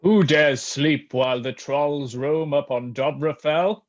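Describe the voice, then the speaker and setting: A man acts out a menacing troll king from a folktale radio play. His voice sits fairly high for a male and his speech is archaic and grandly threatening. The recording is somewhat dull and lo-fi. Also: slow. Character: menacing, haughty troll king who eats humans.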